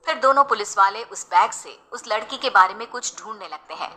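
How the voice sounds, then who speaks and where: A woman's voice: medium pitch, fairly quick, sharp tone, a little hiss or hum. A woman narrating a scripted horror bedtime story as a storyteller.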